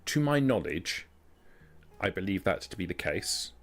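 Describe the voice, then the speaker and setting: Man playing a measured, low-voiced vampire noblewoman. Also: sing-song, soft background music, exaggerated voice. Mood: formal, guarded. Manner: measured, formal, careful wording. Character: formal, guarded vampire woman negotiating a blood pact.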